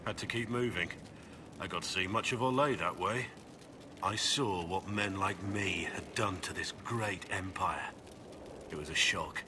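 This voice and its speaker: An actor playing a gruff, weary middle-aged soldier in a video game, grave, measured and earnest, full of remorse and resolve. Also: male voice, low-pitched, background noise. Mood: regretful. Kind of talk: dramatic video game acting.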